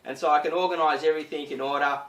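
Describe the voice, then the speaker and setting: A man hosting a casual gardening tutorial, chatty and full of fillers, his voice slightly croaky. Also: a little high, fairly even intonation, racing, a bit bright, reverberant, recorded from a distance, rough recording. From Australia. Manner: chatty, many "uh" and "you know" fillers. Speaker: gardening channel host.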